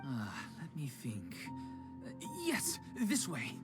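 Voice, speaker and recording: A man's voice: mid-range voice, huge pitch swings, slow pace. Nervous sorry organizer guy, voice jumps around, music behind. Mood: helpful. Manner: nervous, apologetic. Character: anxious apologetic club organizer.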